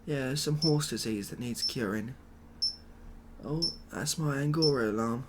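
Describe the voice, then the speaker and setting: A British man doing a soft-spoken ASMR comedy roleplay as a glum, overwhelmed ex-builder, rambling along in a hesitant, deadpan way. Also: medium pitch, unhurried, heavy background noise. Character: glum, overwhelmed ex-builder made president of the world.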